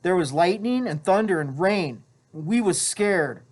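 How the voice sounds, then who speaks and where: Shrill, scared schoolboy in a storybook reading, a reader putting him on. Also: expressive, a little slow, a little mellow. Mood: shrill, defensive. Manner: shrill, rough working-class grammar. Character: shrill, frightened boy insisting on innocence.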